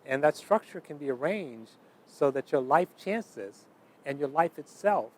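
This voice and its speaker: A man's voice: on the high side, expressive, a little slow. A man giving an explanatory documentary interview, speaking emphatically and building points through repetition. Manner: emphatic, uses repetition in lists.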